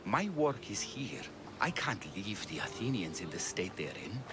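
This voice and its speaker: A man's voice: lowish voice, very animated, normal speed. An actor playing a caring, solemn Athenian man in a dramatic video game cutscene.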